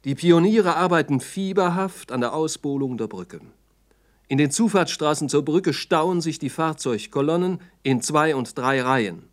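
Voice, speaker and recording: A man's voice: on the high side, animated, moderate pace. A man narrating a documentary radio play in a sober, factual, report-like way.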